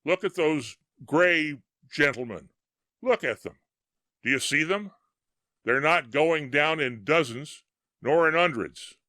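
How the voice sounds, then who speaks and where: A man voicing an excited young British soldier of the First World War, shouting in rough plain speech. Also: on the high side, slow, no room sound, clean studio sound, voice pushed up, exaggerated voice. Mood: amazed shouting.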